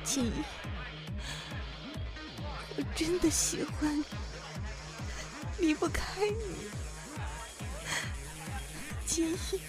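A woman playing a clingy, drunken, scornful young jilted lover in a modern Chinese audio drama, with a dramatic, drawn-out delivery. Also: extremely high pitch, music in the background, exaggerated voice. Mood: tearful pleading.

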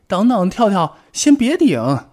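A man voicing a small excitable male rabbit in a storybook, with agitated, squeaky outbursts. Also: unhurried. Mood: indignant.

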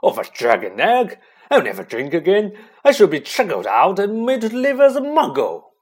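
A reader puts on a huge, soft-hearted storybook man. He is blunt and blustery, wears his feelings openly, and his pitch lurches about widely. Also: very animated, a little slow, slightly rough, exaggerated voice. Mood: sobbing, remorseful. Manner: loud, blustery, emotional delivery.

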